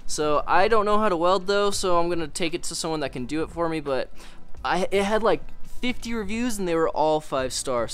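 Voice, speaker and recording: A young man in his twenties talking casually and excitedly to a handheld camera in his garage and car. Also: on the high side, clean tone. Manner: excited, rambling, jokey. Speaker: car vlogger. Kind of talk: casual vlog chat. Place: garage, driveway and inside a car. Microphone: handheld camera at arm's length.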